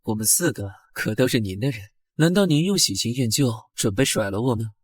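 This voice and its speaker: Casting: teasing nine-tailed fox man, mid-pitched, confident schemer for animated drama, dry booth sound. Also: brisk, husky, no echo. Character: sly, teasing nine-tailed fox clan spy, male consort.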